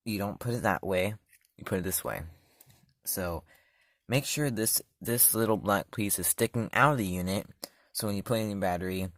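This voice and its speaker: Guy with a low voice doing a laid-back setup walkthrough, keeps saying so yeah, dry sound. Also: dry recording. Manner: loose and chatty, repeats himself, trails off with "so, yeah". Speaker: channel host reviewing a gadget. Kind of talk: casual step-by-step product demo.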